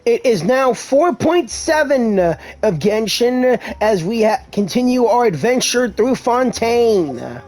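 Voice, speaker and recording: Male let's-player, notably high-pitched, casual gaming commentary, light music underneath. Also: big pitch swings, takes it slowly, few pauses, a little mellow. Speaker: gaming YouTuber.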